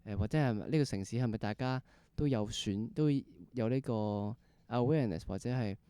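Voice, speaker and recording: A man's voice: medium pitch, normal speed, warm tone, smooth, no room sound, clean studio sound. A man with a Hong Kong Cantonese accent hosting a casual interview, hesitating and repeating phrases, with English words mixed in. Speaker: podcast host.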